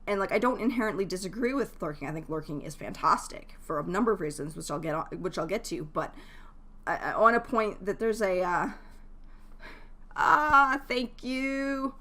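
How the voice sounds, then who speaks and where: A woman with a slightly low voice talks casually on a livestream. She is chatty and jokey, offering advice to her viewers while rambling and restarting her thoughts as she addresses the chat. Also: animated, brisk, fairly bright tone. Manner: jokey, laughs easily. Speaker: game streamer.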